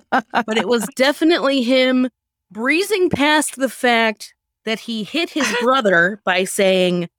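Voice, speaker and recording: A woman hosting a casual chat, playful and quick to laugh. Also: fairly high pitch, no room sound, studio quality. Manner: giggly, animated, playful. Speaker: podcast host and sketch writer.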